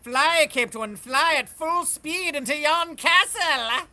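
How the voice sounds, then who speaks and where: Someone putting on a bright, gloating skull-faced sorcerer. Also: high-pitched, slow, heavily acted. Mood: commanding, gloating. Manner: grandiose, declamatory. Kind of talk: dramatic comic book table read.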